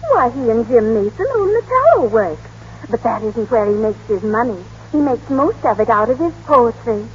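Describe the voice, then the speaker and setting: A woman's voice: on the high side, big pitch swings, moderate pace. An actress playing a motherly, chatty, folksy old small-town housekeeper in a 1930s radio drama. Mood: chatty, proud. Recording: dull, noisy old radio recording.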